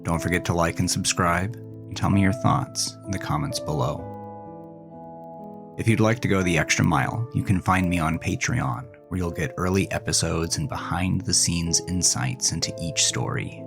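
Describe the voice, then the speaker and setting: A man's voice: low-pitched, normal speed, creaky. A man reading a scripted sign-off as the host of a horror fiction podcast. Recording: music behind the voice.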